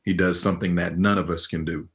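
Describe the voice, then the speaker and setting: Deep-voiced laid-back man. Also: little pitch movement, fast talker, a bit bright. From Inglewood, California. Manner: laid-back, laughs often, many fillers. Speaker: interview guest, animation director. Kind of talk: casual interview chat.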